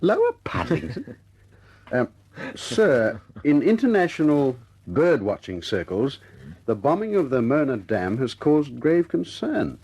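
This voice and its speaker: A man acting in a radio drama as a cocky, jovial air force officer and ladies' man, husky-voiced and playful. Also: on the high side, sing-song, a little slow, a little mellow, exaggerated voice. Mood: amused, mock-solemn.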